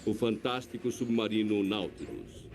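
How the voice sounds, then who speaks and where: A man narrating a documentary in a measured, scripted voice-over. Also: lowish voice, over music.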